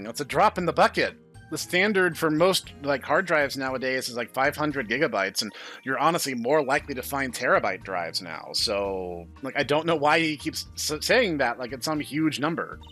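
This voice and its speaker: A man's voice: mid-range voice, pushed and bright. A man hosting a gaming video, giving casual, wry commentary as he reads along. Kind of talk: casual game commentary and read-aloud.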